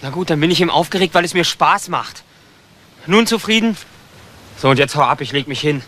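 Brash young drifter, bright-voiced. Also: male voice, on the high side, brisk, slight background noise. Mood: irritated, defiant. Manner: defiant, brash, slangy. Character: young homeless man, cheeky, irritable petty thief. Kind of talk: German crime radio play acting.

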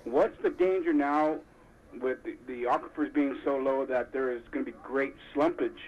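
A man's voice: mid-range voice, normal speed. A man calling into a TV show and asking a long, hesitant question. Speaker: caller. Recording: muffled phone-in line with faint hiss.

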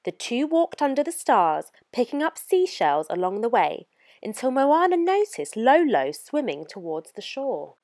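Animated woman reading a children's storybook. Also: fairly high pitch, a little slow. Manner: animated, clearly paced read-aloud for young children. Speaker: storyteller reading a children's picture book aloud. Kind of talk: expressive scripted storybook narration.

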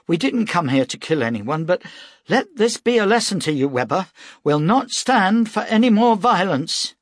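A man voicing an agitated townsman who confronts a gambler in a high, upset voice. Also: sing-song, takes it slowly, slightly rough, no room sound, clean studio sound, exaggerated voice. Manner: shrill, upset.

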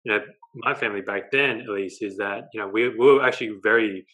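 A man's voice: on the low side, fast talker, poor audio. A young man with an Australian accent, a guest on a video call interview, telling his story with lots of gestures and a habit of adding "right?". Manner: animated storytelling, gestures a lot, tags lines with "right?". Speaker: guest, dating coach. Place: living room at home.

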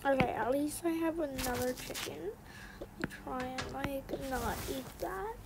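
Woman doing a little kid character, high n slow, kinda whiny and unsure, really noisy audio. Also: vocal fry. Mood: hesitant, grumbling. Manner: hesitant, drawn-out, mildly whiny. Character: hesitant, put-upon little girl left with babysitter. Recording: noisy home recording with clicks.

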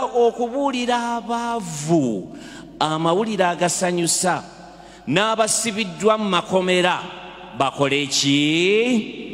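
A Ugandan-accented man preaches from the pulpit, his voice sitting a little high for a man. He drives his points with rhetorical questions and urgent exhortations. A long church reverb trails every phrase. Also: a bit bright. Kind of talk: sermon. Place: large church.

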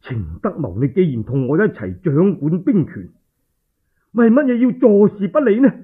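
A man's voice: high-pitched, very animated, moderate pace, husky, narrow, tinny audio, pitched above the performer's own voice, heavily acted. A male Cantonese storyteller voicing a proud, fiery, wounded army commander in a radio tale, commanding and declamatory. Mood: reproachful.

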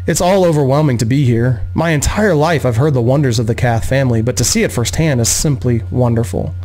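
A man's voice: medium pitch, a little fast, darkish tone, lightly acted, low-quality recording. A man voicing a polite, charming male bard suitor, courteous and admiring, in a fantasy audiobook.

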